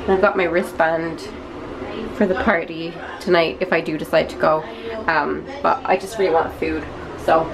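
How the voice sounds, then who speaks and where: A woman's voice: low voice, fairly even intonation, moderate pace, gravelly. A young woman in her twenties chatting casually to a handheld camera, giggly and a bit congested from being sick. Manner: chatty, giggly, filming herself at arm's length. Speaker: vlogger. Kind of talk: casual vlog chat. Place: busy hotel restaurant at night. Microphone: handheld camera at arm's length. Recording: noisy crowded restaurant behind.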